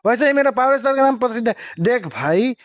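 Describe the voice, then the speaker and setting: A man's voice: extremely high pitch, expressive, fast talker, no echo. A man with a Bhojpuri-influenced eastern Indian Hindi accent, arguing on the phone in an exasperated way as a manager. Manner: exasperated, argumentative. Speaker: celebrity's manager taking a phone call. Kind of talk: heated phone argument. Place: phone call. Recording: dull lo-fi phone call recording.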